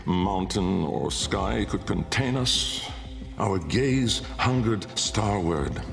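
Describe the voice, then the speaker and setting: An actor playing a haunted, brooding older aristocratic inventor in a video game, delivering a grandiloquent, deliberate dramatic monologue in a gravelly voice. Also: male voice, low voice, exaggerated voice. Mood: grandiose, solemn. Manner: grandiloquent, deliberate, pausing for weight. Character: brooding, haunted male aristocratic inventor recounting his memoir. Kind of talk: theatrical dramatic monologue narration. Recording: long cavernous reverb under music.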